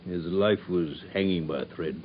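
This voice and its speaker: An actor playing a good-natured Victorian detective's companion, puzzled, in a radio drama. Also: male voice, sits low, normal speed, a little hiss or hum, natural voice. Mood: musing. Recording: dull, muffled radio drama recording.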